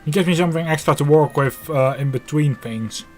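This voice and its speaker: A man's voice: a little high, fairly quick, faint music behind. A man live-streaming, giving casual, easygoing game commentary as he muses aloud.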